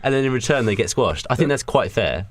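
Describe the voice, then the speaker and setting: A man's voice: mid-range voice, expressive, fast, over a noisy crowd. A young man chatting as a podcast co-host, arguing comic points in a mock-serious way. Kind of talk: casual comic chat.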